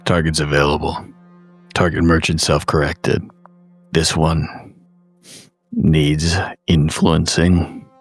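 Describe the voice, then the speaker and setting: A man's voice: very deep voice, takes it slowly, long pauses, slightly rough, soft background music, exaggerated voice. A man playing a terse, ruthless covert agent in a tabletop horror game, speaking in cold clipped phrases. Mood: cold, detached. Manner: clipped, flat, jargon-laden phrases.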